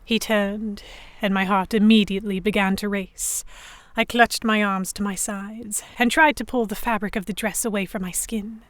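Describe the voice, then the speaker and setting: A woman plays a young Victorian noblewoman telling her own story in an audio drama. She is genteel and expressive, anxious but defiant, at a medium pitch in a very dry recording. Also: moderate pace, dry recording, close to an everyday voice. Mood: embarrassed, prim. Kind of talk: first-person dramatic narration, historical romance audio drama.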